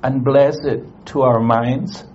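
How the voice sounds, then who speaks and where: A man's voice: medium pitch, fairly even intonation, a little mellow, dull audio, a little hiss or hum. A man preaching in English in short phrases for an interpreter, with hesitant fillers and restarts. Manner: halting, many fillers and restarts. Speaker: guest preacher.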